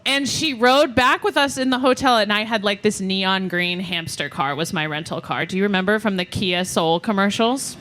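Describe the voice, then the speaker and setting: A woman with a bright, forward voice. She chats casually and tells anecdotes as a podcast co-host at a live show. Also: mid-range voice, moderate pace, hardly any pauses. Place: live show before an audience.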